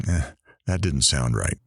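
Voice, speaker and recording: A low, dry-recorded voice for a musing, frank interviewer, acted by a man in an audio drama. He is conversational, and his pitch moves a lot as he talks. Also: lively intonation, slow pace, creaky, no echo, exaggerated voice.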